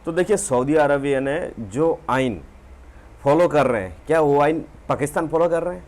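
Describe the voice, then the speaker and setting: A man's voice: a little high, lively intonation, normal speed, a little mellow. A man hosting a reaction channel, giving an opinionated commentary straight to his viewers. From India. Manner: opinionated, addresses viewers directly. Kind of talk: commentary monologue.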